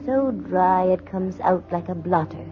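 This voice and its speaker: A woman's voice: on the low side, unhurried, background noise, natural voice. An actress playing a sassy female private eye with dry, sardonic wisecracks, in 1940s radio drama style. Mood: wry narrating. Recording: muffled vintage 1940s radio recording.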